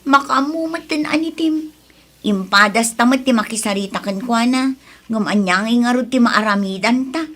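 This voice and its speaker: A woman's voice: a little high, moderate pace, fairly bright tone. Plaintive young Ilocano daughter played by a woman. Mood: troubled. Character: earnest, aggrieved young woman, dutiful daughter. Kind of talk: Ilocano comedy radio drama acting.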